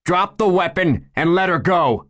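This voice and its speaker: A man voicing a steady male police chief who gives firm, level orders. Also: medium pitch, normal speed, pitched above the performer's own voice, close to an everyday voice. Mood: commanding.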